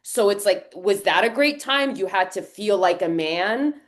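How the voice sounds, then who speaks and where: A woman co-hosting a podcast, thinking aloud in an animated, conversational way. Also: mid-range voice, a little slow. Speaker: podcast co-host. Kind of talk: casual discussion.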